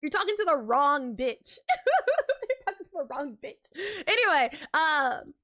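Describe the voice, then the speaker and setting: High-voiced chatty young woman streamer. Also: sing-song, a little slow, bright, forceful tone. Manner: chatty, rambling, laughs often, heavy filler words. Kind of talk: casual live-stream chat.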